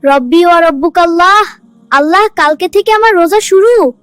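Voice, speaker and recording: Cartoon casting: woman as small devout boy, extremely high voice, innocent and earnest, dry recording. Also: moderate pace, fairly bright tone, no echo, faint music behind. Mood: eager. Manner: innocent, questioning, childlike. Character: earnest, eager, devout little boy keeping his first fast. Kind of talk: children's Islamic cartoon dialogue.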